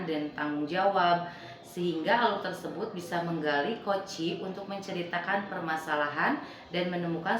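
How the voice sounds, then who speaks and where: Low-pitched polite woman in echoey room. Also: a bit bright. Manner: polite, attentive, conversational. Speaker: teacher coach. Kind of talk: role-play coaching interview. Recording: very echoey room with clear noise.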